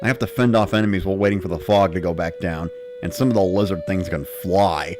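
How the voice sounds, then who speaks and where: A man narrating in the first person with an expressive, dramatic delivery. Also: low voice, fast talker, over music. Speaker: narrator of a dramatic reading series.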